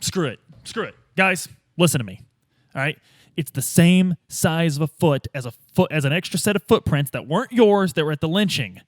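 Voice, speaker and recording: A man playing a tabletop roleplay, improvising a detective's lines in informal, expressive everyday talk. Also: on the high side, normal speed, dry recording, clean studio sound. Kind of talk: casual in-character improvised chat as a detective.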